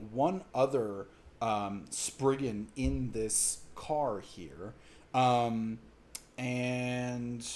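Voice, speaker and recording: A man narrating a tabletop role-playing game as game master, in halting, conversational descriptions. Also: mid-range voice, darkish tone, slight background noise.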